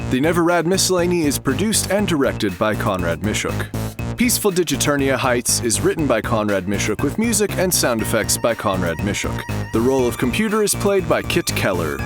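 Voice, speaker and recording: A man delivers a scripted announcement in a medium-pitched voice with lively inflection. Music plays clearly behind him as he reads like a show announcer. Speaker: announcer reading end credits.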